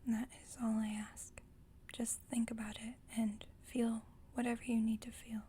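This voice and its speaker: A woman playing a calm, formal, caring vampire coven leader in a whispered ASMR roleplay, speaking in a gentle, measured, breathy whisper. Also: fairly high pitch, a little hiss or hum, close to an everyday voice. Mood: gentle, reassuring.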